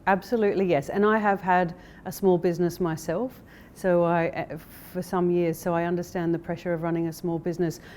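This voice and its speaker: A woman speaking as a company director in a corporate interview, explaining things in a measured way. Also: on the low side, little pitch movement, a little mellow, slight background noise. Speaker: interviewee, managing director of an investment firm.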